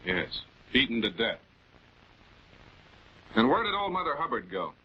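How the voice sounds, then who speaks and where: An actor playing a composed, methodical male prosecutor, speaking with deliberate authority in a 1940s television crime drama. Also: medium pitch, sing-song, breathy edge. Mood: explaining, firm. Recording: dull 1940s film soundtrack.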